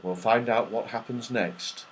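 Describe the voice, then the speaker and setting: A man reading a children's story aloud in an expressive, dramatic storytelling manner. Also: medium pitch, a little slow, slight background noise, low-quality recording. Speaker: audiobook reader.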